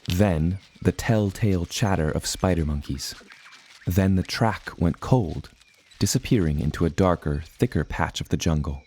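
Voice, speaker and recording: A man's voice: deep voice, a little mellow. An actor playing an earnest seafaring adventurer who tells his tale in formal, old-fashioned language. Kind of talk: scripted storybook narration.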